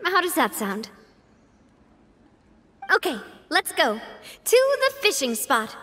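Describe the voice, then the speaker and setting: A woman's voice: high voice, big pitch swings, slow pace, a bit bright, full character voice. A woman playing a cheerful, teasing young woman in a video game, speaking in a breezy, friendly, playful way. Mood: inviting.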